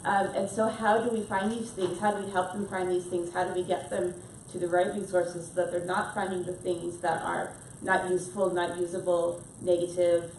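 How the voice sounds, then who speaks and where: A woman lecturer gives her panel remarks in an earnest, questioning way. Her voice sits a little low. She is heard from some distance in an echoey room with faint background noise. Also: flat delivery, normal speed, hardly any pauses, darkish tone. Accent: non-Scottish. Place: conference room, panel event.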